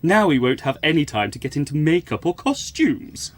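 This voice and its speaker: A woman's voice: low voice, very animated, normal speed. A woman playing a pompous, theatrical stage actor in a radio comedy. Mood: dismayed.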